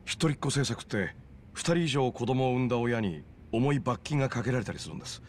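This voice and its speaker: A man playing a well-informed, sharp-witted man from Tokyo, explaining things casually in a video game drama. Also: medium pitch, animated, quick pace, a little hiss or hum, natural voice. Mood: explanatory.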